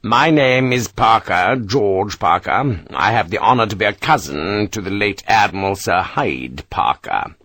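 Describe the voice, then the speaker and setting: A tall, thin, timid gentleman speaks in a weary, tentative tone, as a man acts him out. His voice is mild and sad. The recording is close and dry. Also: medium pitch, expressive, unhurried, slightly rough, no echo.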